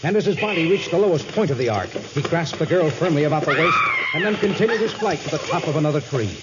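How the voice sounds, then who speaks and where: A man's voice: a little high, animated, fast, very noisy. A man narrating a 1950s radio adventure in a dramatic, scripted storytelling style. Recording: dull vintage radio, loud music behind.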